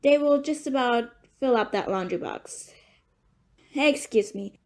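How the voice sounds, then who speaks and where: High-pitched crusty, irritable mother played by a woman. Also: expressive, measured pace, darkish tone. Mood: brusque. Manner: blunt, dismissive. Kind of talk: amateur audio play acting.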